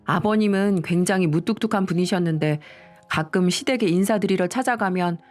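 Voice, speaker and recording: A woman's voice: lowish voice, brisk, a little mellow, soft background music. A woman reading a scripted first-person confessional story as its narrator.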